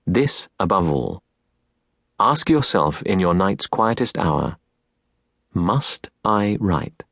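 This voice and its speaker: A man with a fairly low voice narrates literary prose for an audiobook. His delivery is earnest and measured, each phrase placed deliberately. The sound is close and very dry, as in a studio booth. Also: big pitch swings, a bit bright, no room sound. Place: recording studio.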